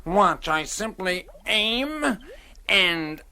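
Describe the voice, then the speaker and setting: High, bright, hesitant bumbling man. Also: expressive, gravelly, heavily acted. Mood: puzzled. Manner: hesitant, muttering, drawn-out. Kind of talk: radio drama acting.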